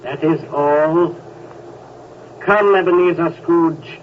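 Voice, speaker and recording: A solemn, commanding male ghost speaks slowly in a fairly high voice. The sound is thin and phone-like, with a hiss of old radio noise behind it. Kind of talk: 1930s radio drama acting. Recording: tinny, hissy 1930s radio recording.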